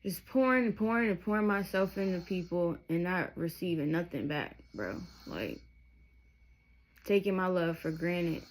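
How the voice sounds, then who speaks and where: A woman's voice: fairly low pitch, animated, moderate pace, poor audio. A woman of thirty telling her life story to her viewers, candid and emotional, rambling with asides as she goes. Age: 30s. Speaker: vlogger. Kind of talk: personal testimony to camera.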